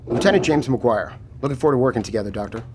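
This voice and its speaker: A man playing a steady, matter-of-fact military lieutenant taking charge after a disaster in an audio drama. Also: medium pitch, very fast, a little hiss or hum, close to an everyday voice. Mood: measured, resolute.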